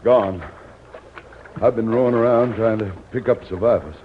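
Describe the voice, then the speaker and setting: A man's voice: lowish voice, normal speed, slight background noise, close to an everyday voice. An actor as a grave frontiersman, muffled radio. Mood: grim. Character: steady, grave frontier man, a rescuer. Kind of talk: 1950s radio drama acting. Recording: muffled radio recording with music.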